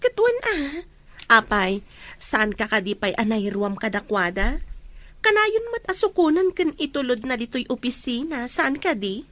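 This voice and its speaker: A woman's voice: fairly high pitch, sing-song, moderate pace, fairly bright tone. A woman with a Filipino accent playing a chatty, teasing young office friend in an Ilocano audio drama. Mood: insistent.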